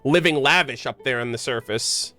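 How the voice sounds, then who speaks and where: A man's voice: medium pitch, moderate pace, sharp tone. A man streaming a game, reacting and musing aloud in casual, slangy speech with short interjections. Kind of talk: casual live reaction and commentary. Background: game music.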